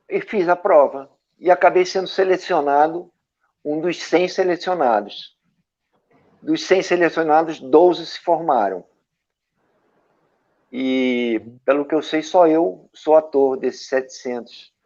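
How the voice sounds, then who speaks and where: A man from Brazil, an actor and director, telling stories from his career as an interview guest, with a lively anecdotal manner and frequent tag questions. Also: fairly high pitch, slow pace. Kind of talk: storytelling interview answers. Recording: dull lo-fi audio.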